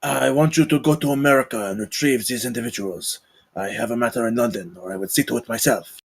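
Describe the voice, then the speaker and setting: Man as a stern count, gravelly, husky, terse, commanding, stoic, table-read drama. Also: mid-range voice, fast, no room sound. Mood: businesslike.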